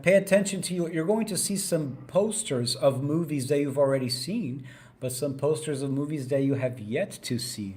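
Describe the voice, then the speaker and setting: Male interview host, slightly high pitch, emphatic conversational delivery, interjecting. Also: darkish tone.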